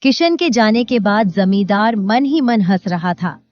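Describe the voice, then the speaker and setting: A woman's voice: medium pitch, animated, a little slow, darkish tone, dry recording, dull audio. A woman narrating a scripted moral story as its storyteller.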